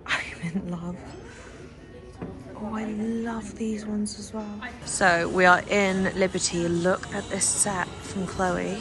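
A young woman talks straight to her handheld camera while wandering shops and busy streets, gushing and chatty. Her voice sits at a middling pitch. Loud background music runs underneath the whole time. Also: level tone, takes it slowly. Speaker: vlogger. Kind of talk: casual shopping vlog chat. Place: busy city street and shops, outdoors and indoors. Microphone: handheld camera at arm's length.

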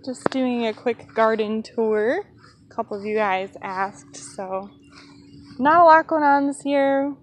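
A woman filming a relaxed, casual tour of her backyard garden on a phone, chatting with plenty of ums. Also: a little high, slight background noise. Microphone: phone held while walking.

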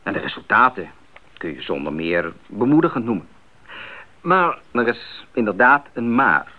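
A man's voice: on the low side, very animated, normal speed, narrow, tinny audio. An actor playing an earnest, idealistic young missionary explaining himself to his parents in a radio drama. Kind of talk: 1980s Dutch radio drama acting. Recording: thin band-limited old radio recording.

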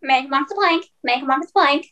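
A woman's voice: high voice, a little fast, bright, forceful tone, lo-fi sound. A woman voicing a pirate's parrot in an amateur radio play, squawking repetitive chants. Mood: squawky, taunting.

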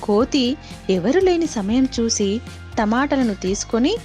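A woman's voice: fairly high pitch, expressive, unhurried, warm tone. A woman storyteller reading scripted moral animal tales for children. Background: faint music.

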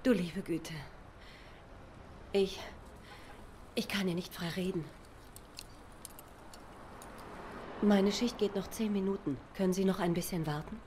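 An actress playing an earnest, wistful diner waitress in a video game, speaking sincerely and a little hesitantly. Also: female voice, medium pitch, moderate pace, long pauses, warm tone, a little hiss or hum, natural voice. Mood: flustered. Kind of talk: German game dub, dramatic dialogue.

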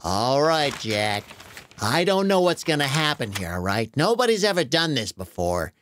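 Storybook dad, played by a woman narrator: casual, hesitant yet encouraging, lively intonation. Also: rumbling low, normal speed, slight background noise, voice pushed down. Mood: cautious. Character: game, slightly nervous dad of a young boy.

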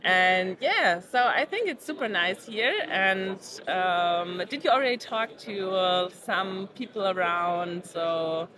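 A chatty woman in her thirties hosts a podcast interview, leaning on "super" and "like". She is recorded indoors at a busy conference venue. The chatter of the crowd is clearly heard behind her. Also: mid-range voice, slow pace, hardly any pauses. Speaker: podcast host. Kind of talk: casual interview chat.

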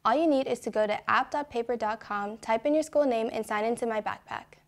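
A teenage girl hosting a school news show, reading announcements in a bright, upbeat anchor style from a studio desk. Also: a little high, brisk. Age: teens.

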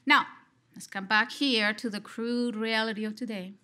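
A middle-aged woman from Colombia with a Colombian Spanish accent, giving an expressive conference response from a lectern on a large stage, speaking into a headset mic. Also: on the high side. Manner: expressive, gesturing, slow and deliberate. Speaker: lecture respondent, psychology professor.

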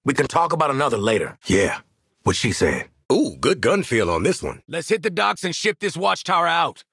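A man's voice: on the high side, big pitch swings, moderate pace, fairly bright tone, breathy edge, no echo, clean studio sound, heavily acted. A man playing a cocky, wry mercenary marksman in a video game, streetwise and sardonic, barking commands to his squad and swearing freely. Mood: wry, casual.

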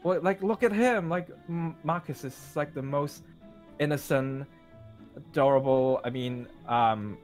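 A man's voice: a little high, a little slow, a little mellow, soft background music, close to an everyday voice. A man playing a nervous, polite vampire in an improvised game roleplay, rambling and repeating himself as he pleads. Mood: pleading. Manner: hesitant, repetitive, rambling.

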